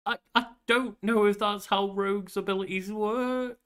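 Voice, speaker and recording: A man's voice: high-pitched, steady tone, moderate pace, fairly bright tone, clean tone. A man in his thirties co-hosting a podcast with casual, joking commentary and some swearing. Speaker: podcast co-host.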